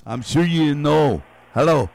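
Slow gravelly man hosting a call-in game. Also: a little high, noisy background. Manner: drawn-out, repeats each guess slowly, chuckles. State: losing his voice. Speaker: radio game show host. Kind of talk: call-in guessing game on air.

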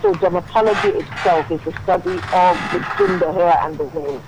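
A woman, a dermatologist, calling into a radio show and explaining calmly, with many "you know"s. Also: fairly low pitch, fairly quick, noisy background. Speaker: dermatologist guest.

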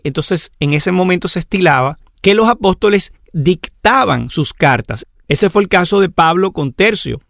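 A man teaching a Bible lesson in a measured, explanatory way, listing examples one after another. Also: a little high, gravelly, a bit bright, no echo, studio quality. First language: Spanish. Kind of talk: lecture on scripture.

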